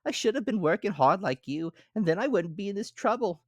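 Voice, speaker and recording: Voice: mid-range voice, animated, brisk, a little mellow, dry recording, pitched above the performer's own voice, natural voice. A storyteller voicing a carefree, flighty female grasshopper in a storybook fable, with exclaiming, theatrical delivery. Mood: tearful, grateful. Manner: exclaiming, theatrical, sing-song. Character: carefree, flighty female grasshopper, dismissive then pitifully remorseful. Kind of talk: dramatic storybook character reading.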